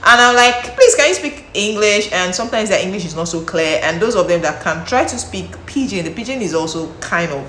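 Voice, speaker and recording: A woman's voice: medium pitch, lively intonation, a little fast, hardly any pauses, pushed and bright. A young woman with a Nigerian accent, chatting to camera in a vlog, talking freely with lots of restarts and repeated words. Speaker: vlogger. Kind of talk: casual talk to camera. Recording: echoey room, faint background noise.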